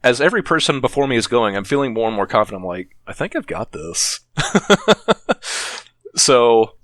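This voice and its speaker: A man's voice: medium pitch, sing-song, normal speed, fairly bright tone, no echo. A man rambling through anecdotes as a podcast guest, chatty and laughing often. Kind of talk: casual podcast chat.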